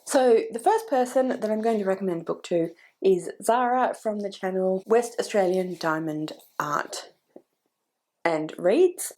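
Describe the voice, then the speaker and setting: A woman talks casually about books she's recommending, her voice stuffy and slightly croaky from a cold. Her tone is mellow and warm rather than bright. She rambles a bit, doubling back and correcting herself mid-sentence. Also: medium pitch. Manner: chatty and meandering, with restarts and self-corrections. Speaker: book vlogger. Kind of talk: casual book recommendations to camera.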